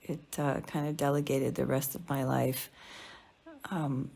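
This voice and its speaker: Low-voiced middle-aged woman, reflective. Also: flat delivery, vocal fry, dark tone. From Canada, partly Sicily. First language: Sicilian. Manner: reflective, halting, self-questioning. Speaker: academic researcher presenting. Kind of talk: candid personal storytelling in an informal talk.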